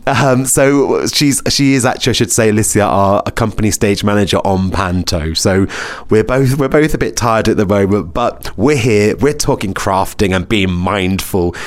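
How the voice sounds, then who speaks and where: A man hosting a podcast in a casual, chatty interview style, giggly and often repeating phrases back. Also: lowish voice. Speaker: podcast host; pantomime performer.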